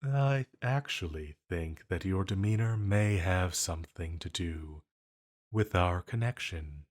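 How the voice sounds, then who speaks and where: An ancient banished villain acted by a man speaks in a very low voice at an unhurried, deliberate pace. He is silky and intimate, flirting with a condescending, dangerous charm, as in an audio drama. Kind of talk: roleplay audio drama monologue.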